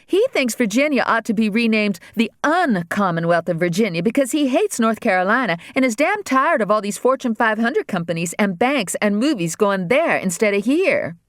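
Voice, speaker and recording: A spoiled teenage girl whines and rants in a high, shrill voice that swings all over the place, as a woman reads her. She sounds melodramatic and put-upon. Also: big pitch swings, normal speed, hardly any pauses, no room sound, clean studio sound. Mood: complaining. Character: bratty whining teenage governor's daughter.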